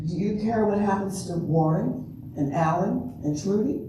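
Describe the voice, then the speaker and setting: Older woman acting slow, patient lawyer, echoey. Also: medium pitch, close to an everyday voice. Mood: patient, firm. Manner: measured questioning, halting. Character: patient, probing lawyer questioning a troubled client. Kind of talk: amateur readers' theater. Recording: distant, very echoey, noisy room.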